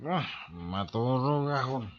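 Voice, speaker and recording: A man's voice: mid-range voice, expressive, slow. A man with a Filipino accent acting a hard-drinking, evasive son and husband in an audio drama. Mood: drunk, groggy. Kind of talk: amateur Ilocano radio-style drama acting. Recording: dull lo-fi audio.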